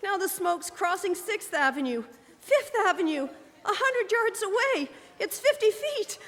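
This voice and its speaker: A woman's voice: very high-pitched, lively intonation, unhurried, a bit bright, heavily acted. A woman playing a radio reporter who watches an invasion with rising dread, in a live radio drama. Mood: mounting horror. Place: live performance in a church. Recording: reverberant church hall, noisy live recording.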